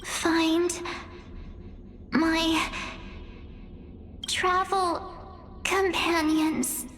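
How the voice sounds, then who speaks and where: A very high, childlike little sprite in a video game. It is cute and halting, with drawn-out words on a nearly even pitch. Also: female voice, slight background noise, full character voice. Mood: halting, earnest.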